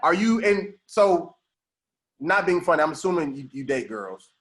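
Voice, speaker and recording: Podcast host, man in his 30s, slightly high voice with an animated swing, laughs a lot. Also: normal speed. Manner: laughs often, repeats "right, right". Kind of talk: casual interview chat.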